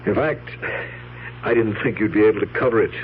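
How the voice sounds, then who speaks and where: Actor as a low, weary nephew, humming old radio. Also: male voice, brisk, natural voice. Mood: practical, solicitous. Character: weary, firm, practical nephew of an elderly aunt. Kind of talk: old-time radio drama acting. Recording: tinny, noisy old radio recording with hum.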